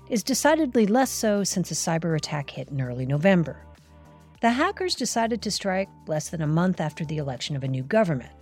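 A woman's voice: on the low side, darkish tone, breathy edge. A woman hosting a cyber news podcast, reading scripted narration in a conversational way. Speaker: podcast host.

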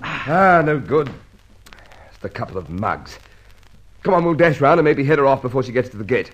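Blustering, medium-pitched police inspector. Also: male voice, very animated, moderate pace, a bit bright, slight background noise. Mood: exasperated, determined. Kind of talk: radio crime serial acting.

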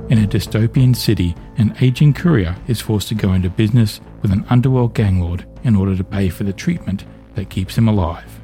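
A man narrates a dramatic trailer in a low, dark, mellow voice. Faint music runs behind him. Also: a little fast.

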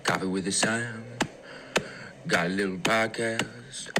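A man's voice: lowish voice, steady tone, slow. A man doing a playful, laid-back podcast intro skit with humming mm-hmms. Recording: noisy clip with clicks.

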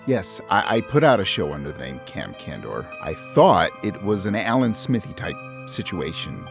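Guy guest on a podcast, deep-ish gravelly voice, lively intonation, music behind. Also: normal speed. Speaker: interview guest, voice actor. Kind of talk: conversational interview.

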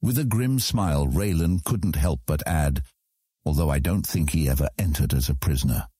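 A man's voice: extremely low pitch, moderate pace, dark tone, breathy edge, no echo, studio quality. A man reading scripted fantasy narration as an audiobook narrator.

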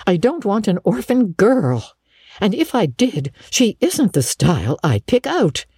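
A woman's voice: medium pitch, sing-song, unhurried, warm tone, breathy edge, no room sound, studio quality, voice pushed up, full character voice. A woman voicing a stern, exasperated middle-aged farm woman in an audiobook, with curt, emphatic scolding. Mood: firm, curt. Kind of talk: audiobook character acting.

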